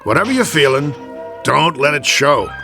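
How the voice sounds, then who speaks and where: An actor playing a gruff, bossy, streetwise American baseball manager in a radio drama, blunt and slangy, with music behind him. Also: male voice, fairly high pitch, very animated, normal speed. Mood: coaxing pep talk.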